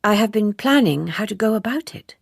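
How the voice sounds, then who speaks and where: A woman's voice: mid-range voice, animated, fairly quick, gravelly, husky, no echo, studio quality, voice pushed up. A woman voicing a proud, reserved but earnest female typist in an audiobook, speaking in a prim, careful way. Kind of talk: audiobook character dialogue.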